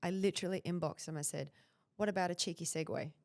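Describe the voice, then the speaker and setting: A woman's voice: fairly low pitch, fast talker. A woman in her thirties with an Australian accent, a guest on a podcast, speaking confidently and directly. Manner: confident, direct, animated storytelling. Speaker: personal branding guest. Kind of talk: casual interview chat.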